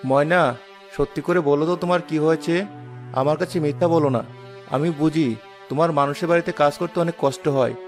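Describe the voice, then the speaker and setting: A man's voice: on the high side, steady tone, fast, faint music behind, natural voice. A man voicing a poor, ailing rickshaw puller in a cartoon drama, an earnest and caring family man. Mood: concerned.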